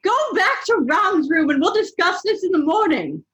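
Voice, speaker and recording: A woman voicing a stern middle-aged witch mother who scolds in a high, shrill voice. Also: big pitch swings, normal speed, fairly bright tone, highs cut off, exaggerated voice. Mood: furious.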